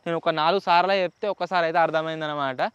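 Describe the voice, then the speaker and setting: A man's voice: a little high, steady tone, racing, a bit bright, dull audio, a little hiss or hum. A man with a Telangana Telugu accent presenting a gadget test as a vlog host, mixing in English words. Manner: mixes English words into speech. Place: outdoors in open area with trees.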